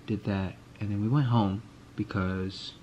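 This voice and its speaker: A man's voice: on the low side, steady tone, measured pace, darkish tone, muffled recording, slight background noise. A young man casually recapping his day for his vlog in a laid-back, rambling, slangy way, filmed on a close phone camera in a small closet. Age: young adult. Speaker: vlogger. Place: small walk-in closet indoors. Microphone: phone camera close to face.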